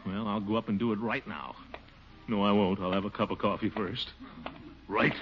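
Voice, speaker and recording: An actor playing a pompous, easily flustered middle-aged city official in a 1940s radio comedy. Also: male voice, fairly low pitch, steady tone, normal speed, loud music behind the voice, close to an everyday voice. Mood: blustering. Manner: flustered, blustering, mutters to himself. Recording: muffled old radio broadcast with hiss.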